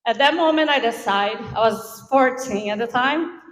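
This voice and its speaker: A middle-aged woman from Brazil with a Brazilian accent, giving an informal, animated motivational talk on stage in a large room. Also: on the high side, normal speed, a little hiss or hum. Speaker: invited event speaker. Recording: echoey hall.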